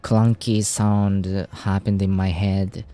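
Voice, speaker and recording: Guy streaming a game, reads and translates the text out loud, slow and unhurried, faint music under him. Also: low-pitched, flat delivery. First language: Japanese. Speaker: gaming streamer. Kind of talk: casual game playthrough commentary.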